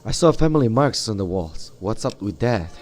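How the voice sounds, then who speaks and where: Low-voiced, halting male gamer. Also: very animated, darkish tone. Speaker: gamer role-playing a game character. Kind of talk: improvised in-character game dialogue. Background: game music.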